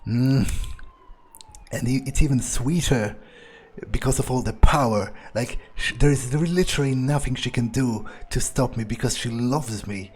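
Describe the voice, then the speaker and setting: A man playing a smug male vampire talks slowly, musing to himself with hesitant pauses. He is power-hungry and gloating, improvised in the style of a roleplay game. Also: medium pitch, a little mellow, breathy edge. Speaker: gamer playing in character.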